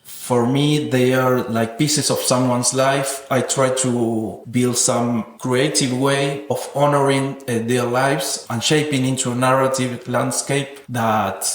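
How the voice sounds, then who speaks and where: A man's voice: medium pitch, steady tone, slow. Reflective man in an echoey room. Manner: thoughtful, academic wording. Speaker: interviewed sound artist. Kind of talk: reflective interview talk about own work. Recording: echoey, roomy sound.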